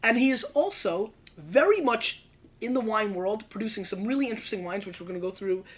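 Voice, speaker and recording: A man with an American accent hosting a casual wine show interview, energetic and full of quick interjections. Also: high voice. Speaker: host.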